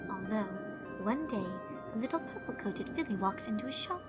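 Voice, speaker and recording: Girl doing a posh dramatic pony fashionista, higher voice that swoops around, music playing under it. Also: expressive, normal speed, natural voice. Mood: storytelling, dramatic. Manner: theatrical, genteel, calls others darling. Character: dramatic, refined female unicorn fashionista telling a spooky tale. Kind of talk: character voice in a fan fiction reading.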